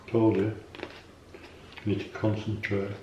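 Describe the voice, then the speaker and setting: A man's voice: lowish voice, monotone, takes it slowly, dull audio. A man chiming in off camera during a casual home vlog, making short, blunt and dryly teasing remarks. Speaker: vlogger's partner chiming in off camera. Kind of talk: casual chat. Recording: echoey, noisy room, voice from off-mic.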